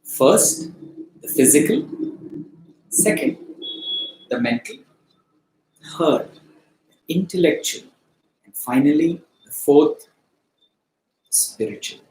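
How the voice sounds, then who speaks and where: A man teaching philosophy, explaining deliberately in short, emphatic phrases with pauses. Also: on the high side, lively intonation, darkish tone, slight background noise. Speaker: spiritual study teacher. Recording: echoey, roomy sound.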